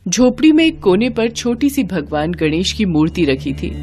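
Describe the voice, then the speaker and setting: A woman's voice: mid-range voice, sing-song, moderate pace, darkish tone. A woman narrating a scripted devotional story for children.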